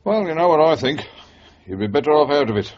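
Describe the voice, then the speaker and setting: An actor playing a frank, concerned British former policeman in a radio drama, advising persuasively in a husky voice. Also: fairly high pitch, animated, quick pace, natural voice. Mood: earnest, persuasive. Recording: dull, band-limited radio broadcast.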